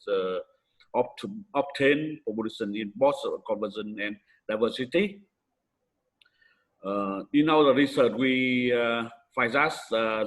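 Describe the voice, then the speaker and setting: Male conference presenter, Vietnamese accent, mid-pitched voice, hesitant academic delivery in halting non-native English. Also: low-quality recording.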